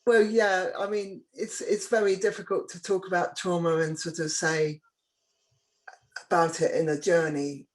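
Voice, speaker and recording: A woman's voice: fairly low pitch, flat delivery, moderate pace, poor audio. A woman panelist on a webinar video call, speaking thoughtfully and hedging as she begins her testimony.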